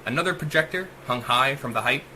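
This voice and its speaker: A man's voice: medium pitch, fast, sharp tone, slight background noise. A man narrating a rhyming short story as a dramatic audiobook, with theatrical, emphatic delivery. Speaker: author reading own short story.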